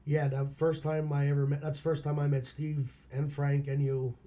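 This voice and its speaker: A hoarse man from Pennsylvania, a local musician, telling stories about his past in a plain-spoken way. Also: on the high side, flat delivery, moderate pace, dark tone. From Schuylkill County, Pennsylvania. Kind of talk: casual interview chat.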